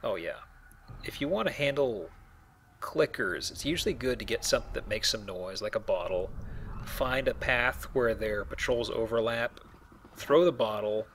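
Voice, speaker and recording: A man's voice: medium pitch, animated, moderate pace, fairly bright tone, slight background noise. A man giving casual, rambling, explanatory commentary while playing a video game. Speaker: let's play host, playing the game.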